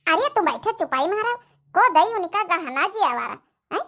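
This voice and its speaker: A woman's voice: very high-pitched, sing-song, unhurried, fairly bright tone, full character voice. A woman voicing dramatic, exclamatory village in-laws in a cartoon comedy, with an Awadhi accent. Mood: conspiratorial. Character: scheming young daughters-in-law and fretting mother-in-law, village family.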